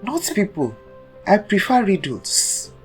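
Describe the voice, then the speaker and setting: A woman's voice: medium pitch, very animated, slow pace, faint music behind, pitched above the performer's own voice. Woman voicing a dramatic, grudging spider trickster. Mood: wry, dramatic. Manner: dramatic, muttering, wry. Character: mischievous, grudging male spider trickster of African folktale.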